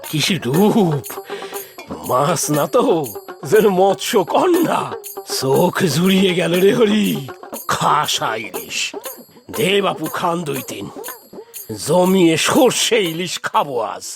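A woman's voice: fairly low pitch, big pitch swings, slow pace, over music, exaggerated voice. A woman voicing a boastful, hot-tempered middle-aged family man in a cartoon, exclaiming and savouring his words. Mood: greedy delight. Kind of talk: animated cartoon dialogue.

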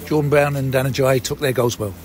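A man interviewing a football manager after the match, asking short, measured questions. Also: mid-range voice, fairly even intonation. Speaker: club interviewer.

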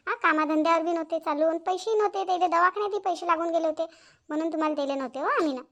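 A woman's voice: extremely high pitch, very fast, fairly bright tone, dull audio. A woman voicing an agreeable, gossipy village sister-in-law in a Marathi cartoon, speaking eagerly with a Varhadi accent. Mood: earnest. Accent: Varhadi Marathi from Vidarbha. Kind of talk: Marathi cartoon family-drama dialogue.

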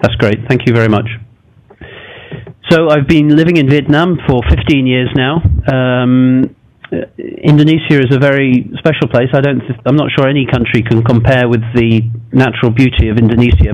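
A man's voice: mid-range voice, moderate pace, darkish tone, highs cut off. Man lecturing with many fillers. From Singapore. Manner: self-deprecating, hesitant with many fillers. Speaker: guest lecturer. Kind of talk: academic lecture. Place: lecture room with desk microphone. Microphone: desk microphone.

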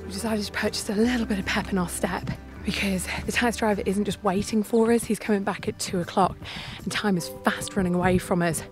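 A woman's voice: mid-range voice, fairly even intonation, a little fast, hardly any pauses. A woman in her thirties, talking to a phone camera held at arm's length outdoors on a mountain trail, telling the story of her hike in a chatty, upbeat way. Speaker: travel vlogger. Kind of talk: hiking vlog narration. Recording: music in the background.

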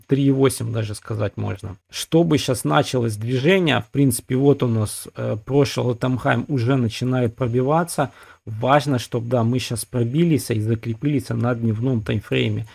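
Casting: male crypto host, gravelly and mellow, casual chart-talk voice-over. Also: medium pitch. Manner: casual, many fillers, addresses viewers as guys. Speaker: crypto market analyst, channel host. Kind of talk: screen-recorded chart analysis.

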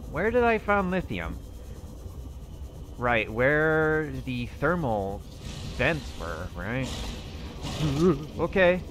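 Slow, lively-toned man musing while gaming. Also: medium pitch. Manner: musing aloud to himself, nervous outbursts. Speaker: gaming YouTuber roleplaying a character. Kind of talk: in-character gameplay commentary.

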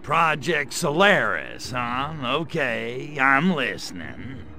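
A man voicing a sarcastic gray alien invader in a video game cutscene, delivering deadpan quips. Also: mid-range voice, slow pace, faint music behind, heavily acted. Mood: sardonic.

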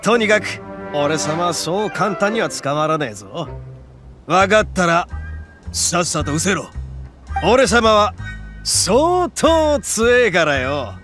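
A loud, cocky horned oni boss in a game, rough and full of swagger. The voice sits high, swings widely and rings bright over faint game music. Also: male voice, sing-song, slow pace, full character voice. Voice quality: rough, swaggering, calls himself grandly. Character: brash boastful horned oni gang boss, male adult. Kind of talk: Japanese game voice acting.

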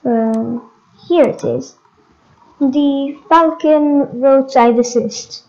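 High female-sounding voice, slow pace, casual game commentary, hesitant, muffled lo-fi mic. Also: darkish tone. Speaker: gaming video host. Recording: dull, lo-fi microphone.

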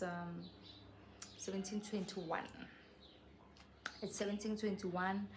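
A young woman from South Africa with a soft voice, chatting earnestly to her viewers on a casual vlog. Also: medium pitch, a little hiss or hum. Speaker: YouTuber. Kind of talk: casual vlog chat to camera. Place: covered patio outside a house.

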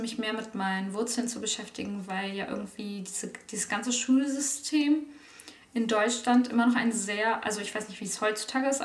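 A woman's voice: mid-range voice, recorded from a distance. Chatty young woman, roomy webcam sound. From Germany. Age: late 20s. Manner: chatty and candid, many fillers and restarts. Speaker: vlogger. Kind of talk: casual personal talk to camera. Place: small room indoors at home. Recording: roomy sound, speaker a bit off-mic.

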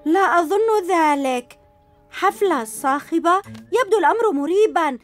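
A woman's voice: very high-pitched, expressive, normal speed. A woman voicing a cautious, proud young boy hero in a dubbed cartoon, speaking in a wary, earnest manner. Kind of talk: Arabic cartoon dub. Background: music.

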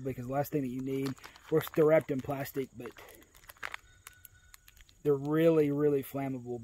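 A man's voice: medium pitch, a little mellow. An American man, around his thirties, casually reviewing gear outdoors in a folksy, conversational way. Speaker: outdoor video host. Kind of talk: casual product review to camera. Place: outdoors in a grassy rural yard.

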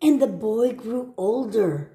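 Slow, lilting woman reading a storybook. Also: fairly high pitch, lively intonation, gravelly, dark tone, reverberant. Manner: simple, deliberate phrasing for young listeners. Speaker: storybook reader. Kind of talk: children's read-aloud with short asides. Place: indoor room at home.

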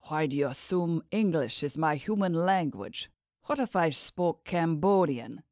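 A woman's voice: low voice, animated, measured pace, a little mellow, no room sound, studio quality. A woman voicing a defiant human man held prisoner, wry and full of questions, in a fantasy audiobook.

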